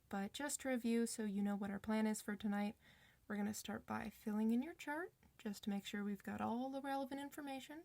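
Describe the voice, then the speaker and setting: Creaky-voiced reassuring woman acting as a werewolf doctor. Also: fairly high pitch, warm tone, dry recording, lightly acted. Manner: reassuring, informal, hesitant pauses. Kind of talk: ASMR roleplay, one side of a conversation.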